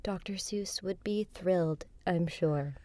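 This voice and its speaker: A woman's voice: lowish voice, a little slow, warm tone, no echo, lightly acted. A woman playing a brash, sarcastic horror call-in radio host in an audio drama, flippant in her banter. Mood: sardonic.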